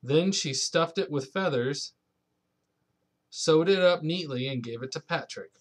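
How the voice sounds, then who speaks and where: Young man, somewhat high pitch, parent's bedtime read-aloud, casual asides. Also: unhurried. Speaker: parent reading to his child.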